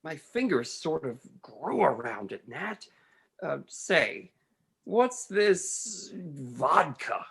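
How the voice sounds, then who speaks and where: A woman's voice: low-pitched, very animated, very slow, creaky, breathy edge, full character voice. A woman playing a folksy, superstitious male sailor in a radio play, wary and plain-talking. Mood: sheepish. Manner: folksy, gosh-and-lordy country talk. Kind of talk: radio play acting, 1940s style.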